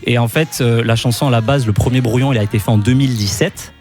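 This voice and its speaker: A man's voice: mid-range voice, quick pace. A man guest on a radio show, talking casually about his music with lots of fillers and easy laughs. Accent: French. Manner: relaxed, chatty, many fillers and laughs. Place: radio studio.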